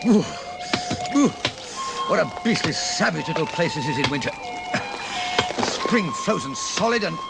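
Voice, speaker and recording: An actor playing a restless, grumbling middle-aged writer in a radio play, blustery and thinking aloud. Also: male voice, fairly high pitch, gravelly, heavily acted. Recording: noisy outdoor sound effects behind.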